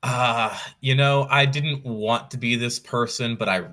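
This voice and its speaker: A man talking casually as an interview guest, in a measured, analytical way, thinking aloud as he goes. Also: medium pitch, steady tone, sharp tone. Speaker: podcast guest, competitive card game player.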